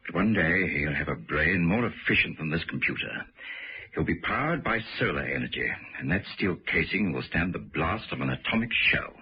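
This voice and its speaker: An actor playing a sinister older scientist, coldly proud and menacing, in a vintage radio drama with a gravelly, husky voice. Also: male voice, low-pitched, animated, moderate pace, exaggerated voice. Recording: muffled old radio broadcast recording.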